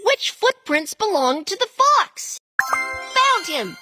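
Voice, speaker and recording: Casting: small eager cartoon animal helper, very high childlike voice, animated delivery, simple exclamatory lines, music underneath. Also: female voice, takes it slowly, exaggerated voice. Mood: eager, urgent. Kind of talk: scripted children's cartoon dialogue.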